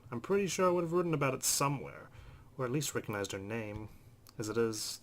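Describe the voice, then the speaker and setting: A man hosting a let's play, reading visual novel narration aloud with brief asides. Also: fairly low pitch. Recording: clear background noise.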